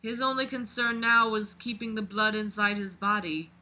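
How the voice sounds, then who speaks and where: Mid-pitched voice, very sharp and forceful, dramatic read-aloud, exclamatory, mocking asides, swearing. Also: normal speed, low-quality recording. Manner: expressive, exclamatory, often swearing. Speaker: reader and commentator.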